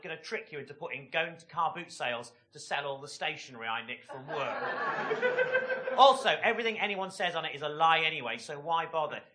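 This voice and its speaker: A man performing a stand-up comedy routine in a comedy club, with dry, deadpan jokes. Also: fairly high pitch.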